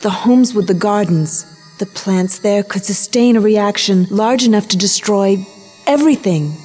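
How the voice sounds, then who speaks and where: A woman's voice: medium pitch, unhurried, darkish tone, soft background music, natural voice. A woman playing a grave, commanding ally of nature in a video game cutscene, speaking with urgency. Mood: urgent.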